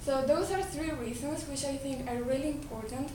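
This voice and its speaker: A woman with a Colombian Spanish accent gives an earnest talk, often restarting her words. Her voice sits high. It is picked up from a distance in an echoey hall, with steady noise and a low rumble behind her. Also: moderate pace. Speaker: speaker giving a talk. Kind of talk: presentation to an audience.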